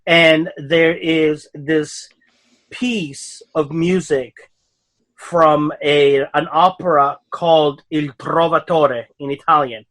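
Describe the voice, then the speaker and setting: A man from Europe, speaking English as a second language, chats casually on a podcast. His voice sits a little high, and his stories wander loosely from point to point. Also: steady tone, slow pace, a bit bright, poor audio. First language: not English. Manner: rambling storyteller, laughs often. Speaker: podcast co-host. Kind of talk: casual chat.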